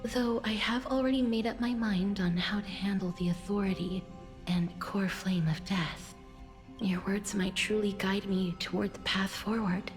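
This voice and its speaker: A gentle-voiced, solemn young maiden from a fantasy game acted by a woman, breathy and nearly whispering. She speaks slowly and deliberately in formal, earnest phrases. Faint music sits behind her. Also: medium pitch, steady tone, lightly acted. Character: soft-spoken, solemn young maiden bound to death. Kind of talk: scripted fantasy game dialogue.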